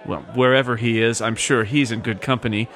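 Man playing suave, flirtatious society gentleman. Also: mid-range voice, fairly even intonation, fairly quick, natural voice. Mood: teasing, charming. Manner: genteel, teasing. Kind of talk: 1940s radio serial acting.

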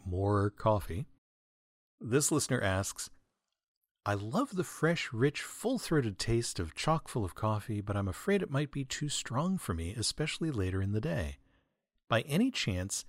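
Male comic host for a scripted mailbag segment; expressive, swooping announcer read with dry wit, in a dry, echo-free recording. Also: lowish voice. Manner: mock-earnest, dry, wry delivery. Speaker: podcast host.

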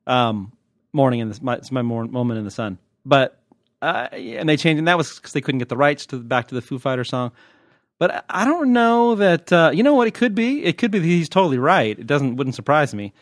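An American man talks as a podcast host, loose and unscripted. He wanders off on tangents and jokes irreverently, with a medium-pitched voice. The sound is dry and close, with very little room. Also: very animated, brisk, no room sound. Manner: irreverent, joking, digressive, mildly profane. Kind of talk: casual, unscripted podcast chat.

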